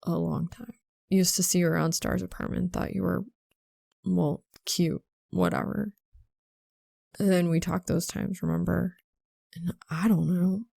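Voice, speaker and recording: Woman voicing a gruff, flustered college guy. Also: on the low side, flat delivery, warm tone, no echo, clean studio sound, exaggerated voice. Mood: awkward, confessing. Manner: halting, rambling, sheepish. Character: flustered, embarrassed young male college student confessing a crush.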